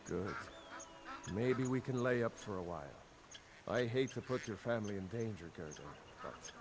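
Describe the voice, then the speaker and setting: Weary rebel soldier, noisy game ambience. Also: male voice, lowish voice, animated, moderate pace. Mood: reassuring. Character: weary, grateful rebel soldier, a devoted brother. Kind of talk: video game dialogue acting. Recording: game audio with loud ambient noise.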